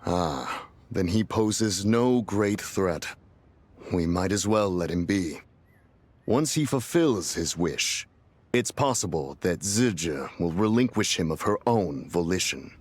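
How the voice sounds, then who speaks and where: A man voicing a grave, measured immortal sage in a video game, formal and deliberate. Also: sits low, expressive, exaggerated voice. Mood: dry, measured. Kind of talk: anime-style video game voice acting.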